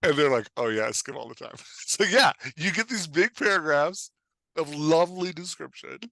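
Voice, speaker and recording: A man's voice: fairly high pitch, normal speed, vocal fry, dry recording. A man talking casually and bluntly about his craft as a podcast guest and coach, emphatic, often voicing imagined reactions. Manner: animated, emphatic, acts out imagined readers' reactions; informal, blunt, peppered with "like" and "right?". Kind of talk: conversational craft talk.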